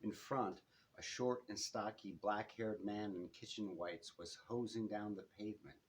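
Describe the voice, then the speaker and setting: A man reading his own first-person detective novel aloud in measured short phrases that fall away at the ends, as at a live bookshop reading. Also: lowish voice, fairly even intonation, a little hiss or hum.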